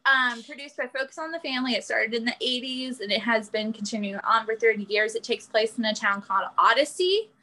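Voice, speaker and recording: High, bright-voiced enthusiastic woman. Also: fairly quick, hardly any pauses, poor audio. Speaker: podcast panelist. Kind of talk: casual chat.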